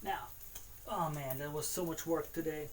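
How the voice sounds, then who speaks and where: A man's voice: fairly high pitch, normal speed. Rambling Austrian man cooking on stream. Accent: Austrian German. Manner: rambling, repeats words, talks to himself. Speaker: livestreaming home cook. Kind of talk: casual livestream chat while cooking.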